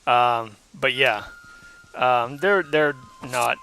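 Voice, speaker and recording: A hoarse young man giving casual, rambling commentary while playing a game, with lots of ums and uhs. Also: medium pitch, drawn-out words, pushed and bright, rough recording. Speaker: let's play host.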